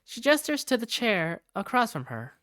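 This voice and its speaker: A woman reading first-person narration and lead lines for a game fandub in an expressive, conversational way. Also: on the high side, moderate pace, dry recording. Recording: faint music behind the voice.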